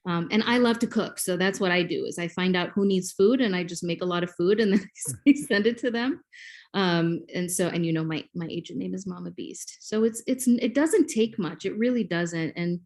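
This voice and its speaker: A woman's voice: on the low side, normal speed. A woman in her forties from Kenya, a native Swahili speaker, talking as a podcast guest and explaining things with many ums like a classroom teacher. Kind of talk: podcast interview.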